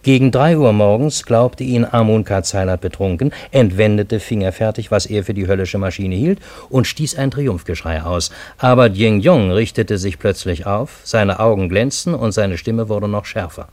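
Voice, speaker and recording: A low male voice in a very dry studio carries this radio play as narrator. An actor plays an obedient Parisian man recounting his strange story with measured, literary phrasing. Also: a little mellow, no echo, natural voice.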